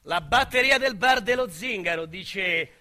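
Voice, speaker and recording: An actor with a Roman accent playing a young, boastful, foul-mouthed street criminal in a radio drama monologue, with coarse slang and a swaggering delivery. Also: male voice, sits high, expressive, a little slow, sharp tone, heavily acted. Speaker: narrator and lead character.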